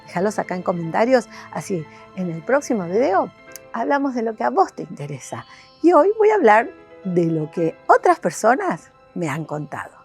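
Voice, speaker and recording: A woman's voice: mid-range voice, very animated, moderate pace, vocal fry, a little mellow, faint music behind. A woman with a Buenos Aires accent hosts as a life coach, chatting casually and directly to the viewer in a rambling way with questions thrown in. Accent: Argentine, Buenos Aires. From Argentina, Buenos Aires. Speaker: life coach and video host. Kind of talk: casual chat to camera.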